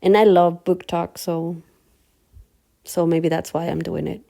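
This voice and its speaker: A young woman from Mexico with a Mexican Spanish accent, a native Spanish speaker, introducing herself in a casual book vlog and speaking slowly and carefully, with hesitant restarts. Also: lowish voice, little pitch movement, gravelly, dark tone. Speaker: new book vlogger. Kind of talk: casual first-video introduction.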